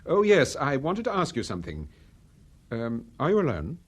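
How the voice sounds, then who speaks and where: A suave, husky British gentleman detective. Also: mid-range voice, wildly swooping pitch, moderate pace. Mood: businesslike. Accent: British, Received Pronunciation. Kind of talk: radio drama acting.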